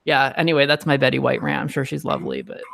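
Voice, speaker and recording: A man hosting a casual panel discussion, talking in an animated, ranting way. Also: medium pitch, quick pace, creaky. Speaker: host.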